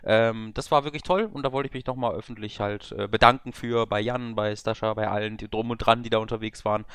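A man's voice: lowish voice, fairly even intonation, a little fast, fairly bright tone, clean tone, dry recording, studio quality. A young man with a cold, chatting on a podcast from a remote voice call, rambling and self-deprecating with many filler sounds. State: has a cold. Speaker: podcast co-host. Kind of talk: casual podcast chat. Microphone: remote over voice chat.

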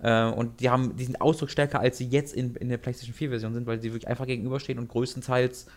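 A young man talking about anime and video games in a casual podcast chat, animated and rambling with many restarts. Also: mid-range voice, quick pace. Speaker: podcast co-host.

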